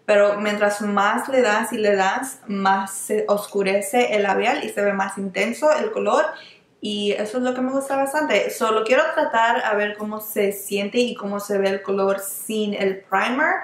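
A young woman reviewing makeup in a casual, chatty way, often restarting words and slipping into English terms. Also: medium pitch. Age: young adult. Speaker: beauty vlogger. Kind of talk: casual product review while applying makeup. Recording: echoey small room.

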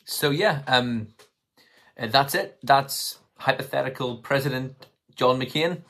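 A man in his twenties with a slightly hoarse voice, talking casually and tentatively to camera as he thinks through his commentary. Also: mid-range voice, takes it slowly, fairly bright tone. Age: 20s. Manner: tentative, hedging, thinking aloud. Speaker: political commentary vlogger. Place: small room at home, indoors.

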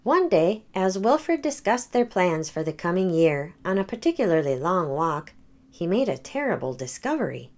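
A woman hosting a children's read-aloud, narrating a picture book in an expressive storytelling manner. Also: on the low side, normal speed.

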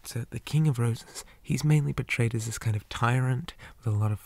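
A man playing a shy, old-fashioned zombie boy on a date in an ASMR roleplay, speaking softly and breathily, often near a whisper, with hesitant stammers and formal wording. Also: mid-range voice, a little fast, gravelly. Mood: nervous, rambling. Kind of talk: ASMR roleplay, soft half-whispered talk.

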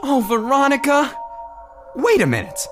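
A woman's voice: high voice, huge pitch swings, moderate pace. A woman playing a flustered, easily embarrassed space adventurer in a sci-fi radio comedy, exclaiming and sputtering. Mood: startled, indignant. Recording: music bed under dialogue.

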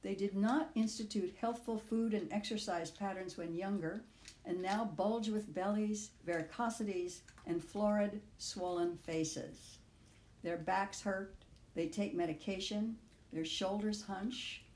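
An older woman in her sixties or beyond reads her own reflective personal essay aloud in a measured, literary way, with deliberate phrasing. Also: medium pitch, steady tone, a little mellow, echoey room, slight background noise. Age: 60s or older. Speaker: author reading own audio essay.

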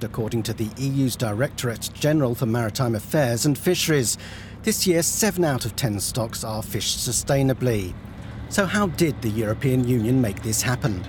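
A man reading scripted narration as a news documentary reporter. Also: mid-range voice.

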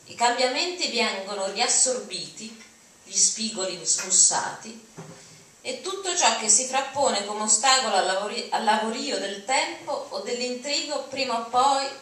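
A woman's voice: fairly high pitch, lively intonation, a little slow, bright, forceful tone. A woman giving a dramatic reading of written text at an auditorium podium. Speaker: reader. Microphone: podium mic, recorded from audience. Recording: very echoey hall, distant, noisy.

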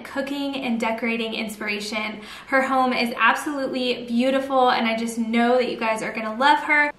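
A woman's voice: a little high, a bit bright. A woman giving a casual, friendly and chatty recipe walkthrough as a cooking vlogger. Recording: echoey room, music underneath. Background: background music.

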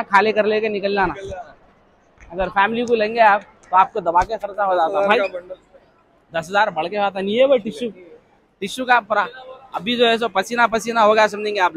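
A man's voice: sits high, a bit bright. Joking Hyderabadi man vlogging a busy expo. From Hyderabad, India. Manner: jokey, teasing, quick to laugh. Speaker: vlogger host. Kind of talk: casual vlog chat. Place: crowded indoor expo hall and outdoor food stall. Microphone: handheld mic.

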